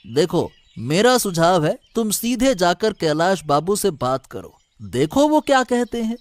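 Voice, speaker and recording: A man playing a cautious village labourer who explains and advises emphatically in a Hindi animated moral story. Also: sits high, unhurried, dry recording. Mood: cautious, advising.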